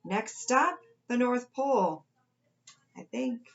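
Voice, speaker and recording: A woman's voice: on the high side, expressive, takes it slowly, muffled recording, pitched above the performer's own voice. A woman voicing a breezy, clueless, forgotten storybook crayon in a chatty, questioning way. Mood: breezy, unsure. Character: forgotten neon red crayon, breezy clueless reproachful traveller.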